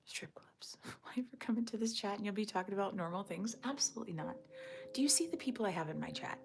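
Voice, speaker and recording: A woman hosting a casual morning livestream from home, talking in a hushed half-whisper while others sleep, rambling and joking as she reads the chat. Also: mid-range voice, quick pace, creaky, a little hiss or hum. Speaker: livestream host reading chat. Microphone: phone or camera on a tripod.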